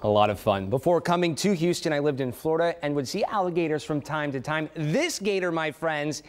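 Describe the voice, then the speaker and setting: A man's voice: fairly high pitch, brisk, clean tone. A man reporting for local TV news, chatting on set and asking questions in the field.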